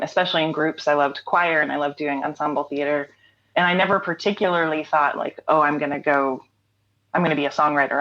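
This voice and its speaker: A woman with a notably low voice chats casually as a guest, unhurried and warm with frequent small laughs. The recording is slightly dull and lo-fi, like a remote call. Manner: relaxed, laughs often, many fillers. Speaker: interview guest, writer and songwriter. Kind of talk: casual interview chat.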